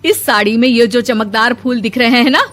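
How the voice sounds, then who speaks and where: A woman playing an indignant middle-aged village mother-in-law in an animated moral story, scolding and emphatic. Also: a little high, brisk, faint music behind, lightly acted. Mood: offended.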